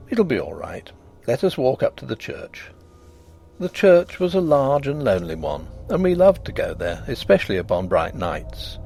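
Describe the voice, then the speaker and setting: A man narrating a gothic ghost story in a measured, expressive reading voice. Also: medium pitch, vocal fry, a little hiss or hum.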